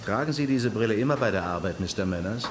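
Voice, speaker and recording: An actor playing a police detective who questions pointedly and accusingly in a German radio crime drama. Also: male voice, fairly low pitch, lively intonation, fast talker, lightly acted. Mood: probing, sardonic. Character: needling, accusing police detective, keeping control. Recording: loud hum and background noise.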